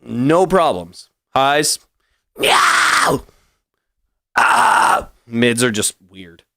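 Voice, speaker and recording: A man's voice: medium pitch, very slow, creaky. A man in his thirties talking casually between vocal takes as he records his own song at home. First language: French. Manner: harsh screamed metal vocals between relaxed asides. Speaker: musician tracking vocals. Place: small home studio room. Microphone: studio microphone on stand.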